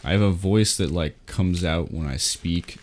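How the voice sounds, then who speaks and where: A man's voice: low voice, unhurried. A young man co-hosting a podcast, talking in a laid-back, hesitant way. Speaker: podcast co-host. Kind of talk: casual chat.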